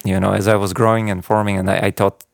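A man's voice: fairly low pitch, little pitch movement, fast, vocal fry, no echo, studio quality. A man, a guest chatting casually in an interview, laughing often with many fillers. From Bulgaria. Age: 30s. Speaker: guest conductor.

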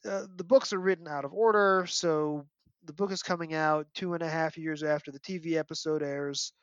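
A man's voice: fairly high pitch, steady tone, normal speed, clear, resonant tone, no echo. A man giving an articulate, detailed analysis as a guest in a podcast discussion. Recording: dull, dry lo-fi audio.

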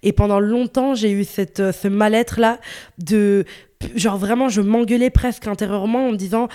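A woman's voice: medium pitch, fairly even intonation, normal speed, dark tone, smooth. Bubbly woman in her 20s, chatty guest, lots of euhs and laughs. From northern Italy. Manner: bubbly, laughs easily, many fillers. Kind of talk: casual interview chat.

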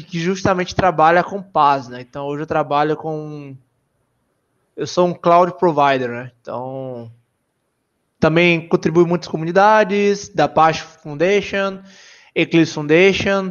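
A man from Salvador with a Bahian accent, a software engineer guest explaining cloud computing in a casual, self-deprecating livestream chat. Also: a little high, clear, resonant tone, muffled recording. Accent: Bahian Brazilian Portuguese. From Salvador, Bahia, Brazil. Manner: self-deprecating, jokey, full of filler tags.

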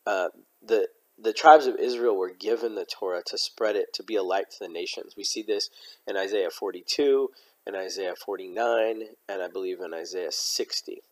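Slightly hoarse, low-pitched man teaching. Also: normal speed, low-quality recording. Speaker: host of a Bible study podcast. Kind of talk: informal religious teaching with scripture readings.

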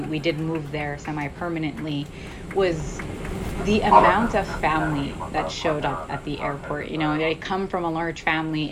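A woman journalist from the United States telling personal stories in a thoughtful, reflective way in an interview. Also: low voice. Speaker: journalist guest.

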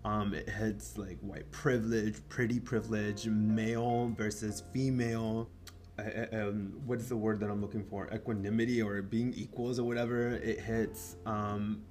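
A man's voice: lowish voice, flat delivery, normal speed, darkish tone. A Mexican-born man whose first language is Spanish, hosting a podcast and chatting casually about films, rambling with many fillers and restarts and the odd swear word. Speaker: podcast host. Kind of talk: casual film review chat.

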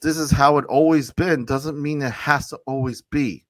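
A man hosting a casual conversation, speaking earnestly and haltingly with frequent restarts. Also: on the high side, fairly even intonation, no room sound. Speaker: podcast host.

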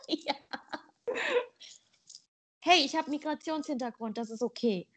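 A woman's voice: high-pitched, slow. A woman born in Germany co-hosting a podcast, talking bluntly and playfully in casual chat. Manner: blunt, playful, spins jokes out. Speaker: podcast co-host.

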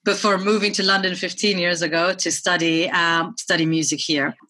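Bright, chatty woman guest. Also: lowish voice, steady tone, normal speed. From Germany. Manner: chatty and open, many fillers like "you know". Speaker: guest music producer. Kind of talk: casual interview chat. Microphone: remote video call.

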